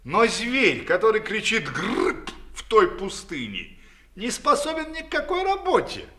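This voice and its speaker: A man's voice: high voice, pushed and bright, voice pushed up, full character voice. A man voicing a fairy-tale master of animals, speaking to them with pity and firmness.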